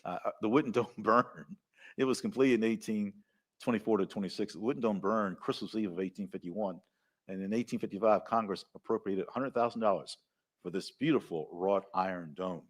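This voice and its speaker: A man's voice: on the low side, brisk. A man from the American South with a Southern accent, giving enthusiastic live commentary full of fillers and anecdotes.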